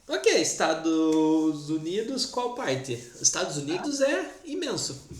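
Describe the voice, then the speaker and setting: A host who talks like a teacher, unhurried and deliberate, explaining expressions to the guest. The voice sits in the middle range, and the recording has a noticeably roomy echo. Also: mid-range voice, big pitch swings. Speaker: podcast host, language teacher. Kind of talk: podcast interview.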